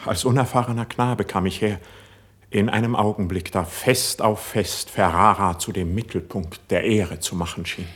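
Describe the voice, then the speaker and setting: An actor playing a passionate, melancholic young court poet in solemn, declamatory classical verse for radio drama. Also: male voice, fairly low pitch, a bit bright, slightly rough. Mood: wistful. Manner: solemn, measured, declamatory delivery.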